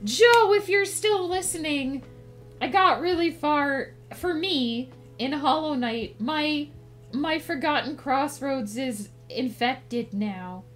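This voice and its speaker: A woman's voice: high-pitched, takes it slowly, a bit bright, soft background music. A woman livestream host chatting casually with viewers in a playful, cutesy put-on character voice.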